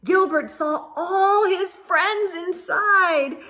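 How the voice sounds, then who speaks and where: A woman reading a story aloud to children as narrator, in a deliberate, expressive way. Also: extremely high pitch.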